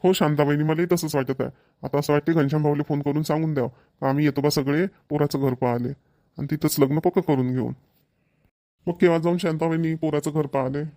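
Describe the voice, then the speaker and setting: A man's voice: on the high side, steady tone, fast, mellow, close to an everyday voice. A man voicing an earnest village father in a homemade cartoon, speaking colloquial, emphatic, repetitive Marathi with a Vidarbha accent. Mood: agreeable, decided. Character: earnest village father arranging his daughter's marriage.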